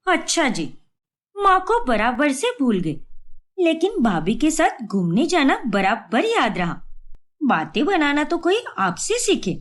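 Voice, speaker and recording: A young daughter, acted by a woman, protective and sharp-tongued. Her high voice bounces with indignant, pointed emphasis in a family tale. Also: huge pitch swings, slow pace, exaggerated voice. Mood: sarcastic.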